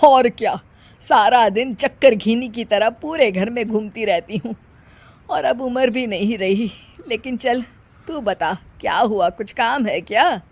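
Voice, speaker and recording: A woman voicing an older, sharp-tongued mother-in-law who scolds and complains in a cartoon story. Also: a little high, unhurried. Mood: teasing.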